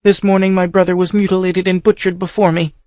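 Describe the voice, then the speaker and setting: A man's voice: high-pitched, fairly even intonation, moderate pace, no room sound, clean studio sound, pitched above the performer's own voice, lightly acted. A man reading an audiobook, voicing a desperate young noblewoman held captive, pleading in a breathless, urgent way. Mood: anguished. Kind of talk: audiobook character voice.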